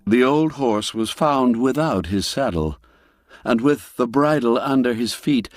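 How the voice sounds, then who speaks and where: A man narrating an audiobook as dramatic storytelling, with measured, deliberate, suspenseful phrasing. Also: on the low side, no echo, clean studio sound. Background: music under the voice.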